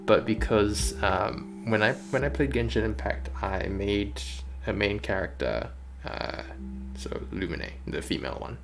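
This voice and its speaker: A man's voice: on the low side, measured pace, vocal fry, hardly any pauses. A man chatting casually on a livestream while he works, rambling and thinking aloud as a game developer. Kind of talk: casual chat while working on stream. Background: music playing behind the voice.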